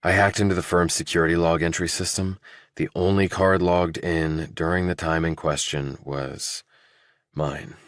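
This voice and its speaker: A man acts out a desperate young man suspected of murder, very low in pitch. He is blunt, earnest and insistent, as audiobook dialogue in a dry recording. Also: level tone, moderate pace, dry recording, lightly acted. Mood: guarded, frustrated.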